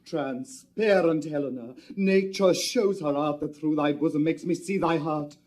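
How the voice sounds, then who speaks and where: A man's voice: a little high, unhurried, darkish tone, natural voice. A man playing ardent young lover Lysander. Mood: impassioned. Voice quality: earnest, impassioned verse. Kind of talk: stage drama acting.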